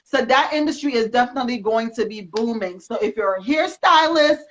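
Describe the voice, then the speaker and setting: A woman giving energetic, rambling encouragement in a casual live video chat, speaking as a magazine representative. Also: on the high side, fairly quick. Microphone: video call. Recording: dull lo-fi sound.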